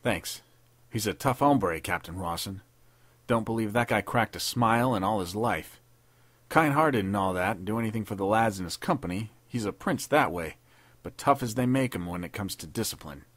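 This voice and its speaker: A man's voice: on the low side, expressive, normal speed, a bit bright, dry recording. A man playing a friendly veteran private who talks in folksy soldier slang. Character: easygoing veteran male private.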